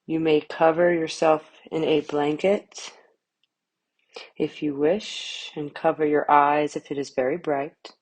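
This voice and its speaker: A woman guiding a yoga nidra relaxation in a calm, soothing manner, with short, spaced phrases. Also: sits low, takes it slowly. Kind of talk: guided relaxation meditation.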